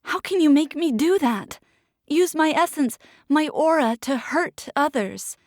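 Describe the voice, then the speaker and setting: A terrified young heroine, as a woman reads her, begs in a high, strained voice that climbs well above the narrator's tone. The lines come slowly and land in a dry, close space with no echo. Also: lively intonation. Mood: desperate pleading. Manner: desperate, high-pitched pleading.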